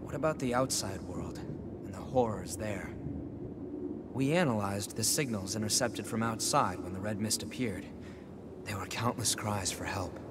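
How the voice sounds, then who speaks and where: A man playing an earnest, burdened revenant in a video game, speaking in a measured, solemn way. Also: fairly low pitch, heavy background noise, natural voice. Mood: solemn, resolute. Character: earnest, brooding male revenant, resolute and burdened. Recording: loud game ambience behind the voice. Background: loud ambient game sound.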